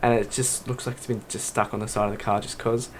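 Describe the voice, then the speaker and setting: A man's voice: fairly low pitch, flat delivery, fast. A man co-hosting a podcast, chatting casually in an informal, blunt and jokey way. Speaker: podcast co-host. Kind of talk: casual panel chat.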